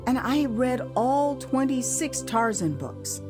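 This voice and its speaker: High-voiced American woman, dramatic storyteller. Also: over music. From United States. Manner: expressive, dramatic storytelling with drawn-out emphasis and chatty asides. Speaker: author narrating her own audio drama. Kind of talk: theatrical first-person memoir narration.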